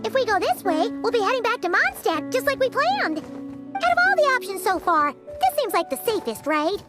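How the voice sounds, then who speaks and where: A woman voicing a small, blunt female fairy companion with a squeaky, bouncy voice, over game music. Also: big pitch swings, normal speed. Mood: chatty. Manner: bright, squeaky and cheeky.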